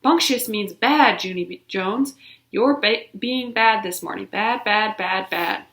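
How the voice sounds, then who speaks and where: A woman voicing a bossy little girl who tattles in a shrill, accusing voice. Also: measured pace, a bit bright. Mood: tattling. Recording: roomy echo.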